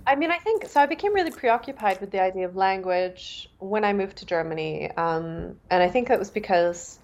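A Canadian-born woman author, first language Mandarin, speaking in a thoughtful, measured way with fillers and restarts. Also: medium pitch, expressive, a little hiss or hum. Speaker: author and guest. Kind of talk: reflective interview talk.

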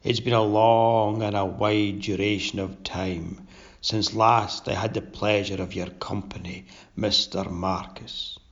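A man voicing a pompous, sinister undead sea captain, speaking in a low, slow, drawn-out rasp. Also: little pitch movement, fairly bright tone. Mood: gloating. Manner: pompous, sly oration. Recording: dull lo-fi recording.

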